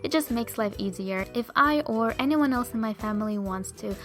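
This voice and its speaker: A woman's voice: on the high side, normal speed, a bit bright. Young russian-accented woman, chatty vlogger voice, faint music behind. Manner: cheerful, chatty, addresses viewers directly. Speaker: vegan food vlogger. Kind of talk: voice-over narrating cooking.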